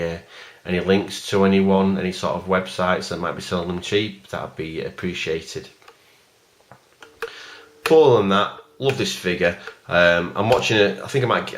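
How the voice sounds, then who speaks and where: A man giving a casual, rambling video review of a collectible figure in a plain, even voice, stopping often for ums and uhs. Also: low-pitched, slight background noise. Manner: rambling and hesitant, many fillers.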